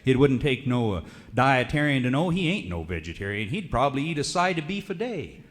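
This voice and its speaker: A man with an American West accent recites comic narrative cowboy poetry in a folksy, theatrical drawl with drawn-out emphasis. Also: medium pitch, very animated. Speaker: cowboy poet. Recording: reverberant hall recording of a radio show.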